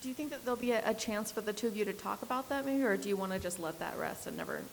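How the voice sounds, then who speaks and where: A female reporter asking soft, hesitant questions at a press session. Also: medium pitch, racing, vocal fry. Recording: echoey hall from a distance with faint hiss.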